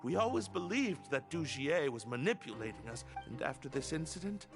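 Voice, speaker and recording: An actor playing an earnest, polite and hesitant young prisoner in a video game. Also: male voice, a little high, sing-song, a little fast. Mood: earnest, nervous. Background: background music.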